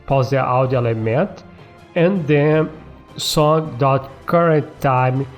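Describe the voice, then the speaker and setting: Guy doing a coding tutorial, slow casual step by step, music in the back. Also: mid-range voice. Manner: checks in often with tag questions like okay and all right. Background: background music.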